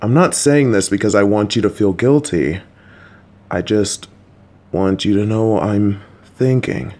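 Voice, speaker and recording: A man voicing a kind, worried, clueless father who talks to his child in a gentle, patient, anxious, rambling way. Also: lowish voice, measured pace, natural voice. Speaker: voice actor. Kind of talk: audio roleplay for a listener.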